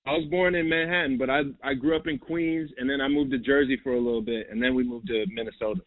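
A man's voice: medium pitch, fairly even intonation, quick pace, no echo. A young man from New York, a basketball guest in a casual interview, rambling and repeating himself with lots of "like" and "bro". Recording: tinny phone-like line, dry.

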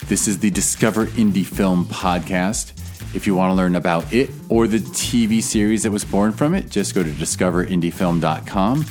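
A man's voice: low-pitched, moderate pace, a little mellow, faint music behind. A man in his late thirties hosting a podcast, talking in a candid, joking way and swearing casually. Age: late 30s. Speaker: podcast host. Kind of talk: casual chat.

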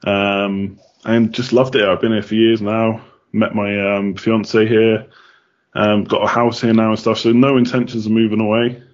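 Chatty low-voiced British-raised man. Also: little pitch movement, moderate pace, muffled recording. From United Kingdom. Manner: chatty, many ums and restarts. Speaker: podcast guest. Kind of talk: casual interview answers.

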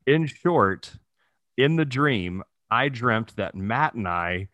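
A man's voice: mid-range voice, expressive, slow, fairly bright tone, dry recording. Jokey man in his thirties, dry close recording. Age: 30s. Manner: profane, jokey storytelling with frequent chuckling. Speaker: podcast co-host. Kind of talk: casual comedic chat.

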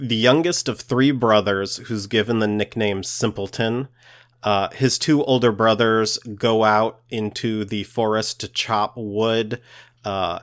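A man co-hosting a podcast, explaining lore in a halting way as he searches for words. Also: lowish voice. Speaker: podcast co-host.

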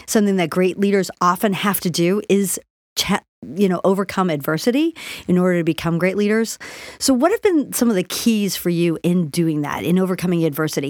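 A woman's voice: on the low side, darkish tone, no room sound. A woman interviewing a guest in a conversational way as a podcast co-host.

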